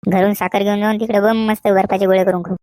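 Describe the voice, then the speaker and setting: A woman's voice: mid-range voice, level tone, quick pace, dark tone, no echo, studio quality, close to an everyday voice. A woman voicing a precocious little grandson in a comedy cartoon, talking like a grown-up with a rural Maharashtrian accent.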